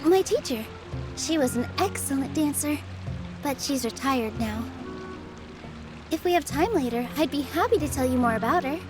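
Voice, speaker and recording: A sweet young female dancer in a game, with a high voice. She is polite, gentle and sincere, speaking over background music. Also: expressive, moderate pace, long pauses. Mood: fond. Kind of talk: video game voice acting.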